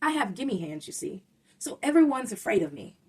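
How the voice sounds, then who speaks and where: Woman voicing a stubborn, selfish young storybook boy, husky. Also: fairly high pitch, big pitch swings, a little fast, far from the mic, full character voice. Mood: sad. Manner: loud, possessive, childish. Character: selfish, stubborn young boy creature who grabs toys.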